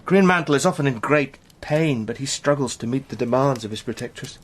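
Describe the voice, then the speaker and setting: An actor playing an earnest, impassioned British gentleman spy with a literary turn of speech in a radio drama. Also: medium pitch, expressive, brisk, close to an everyday voice. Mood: intense, awed. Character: Sandy, fervent eloquent British gentleman spy.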